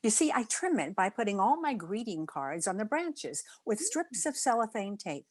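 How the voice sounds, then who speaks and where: A woman's voice: medium pitch, sing-song, moderate pace, lightly acted. A woman playing a wry, good-humoured schoolteacher with dry quips, in old-time radio comedy style. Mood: wry, cheerful. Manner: wry, dry comic delivery.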